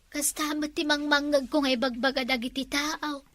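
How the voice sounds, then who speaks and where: A woman's voice: high voice, steady tone, moderate pace, fairly bright tone, no room sound. A woman acting in a comic village drama, chatty and gossiping. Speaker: comedy drama actor.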